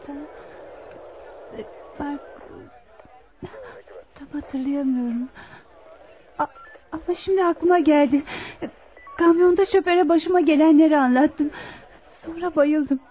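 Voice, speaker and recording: A woman's voice: high voice, measured pace, long pauses, warm tone, slight background noise, rough recording. An actress playing a fragile, grateful wronged mother who regains her memory, speaking hesitantly and emotionally in a radio drama. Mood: fragile, recalling. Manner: hesitant, emotional, repeating words.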